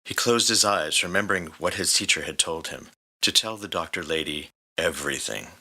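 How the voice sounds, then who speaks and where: A man's voice: low voice, moderate pace, pushed and bright, poor audio. A man reading scripted story narration as the narrator. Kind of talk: scripted fan fiction narration.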